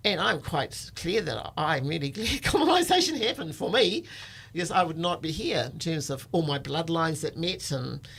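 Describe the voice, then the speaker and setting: Older woman, New Zealand accent, creaky voice, informal reflective storytelling, conversational. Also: deep voice, sing-song, moderate pace, fairly bright tone. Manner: informal, reflective, often says "you know". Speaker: storytelling guest, activist.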